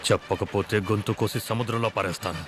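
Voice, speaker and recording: An actor playing a ruthless, impatient interrogator in an action film, threatening, with noise behind. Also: male voice, on the low side, steady tone, quick pace, natural voice. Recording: noisy film scene ambience.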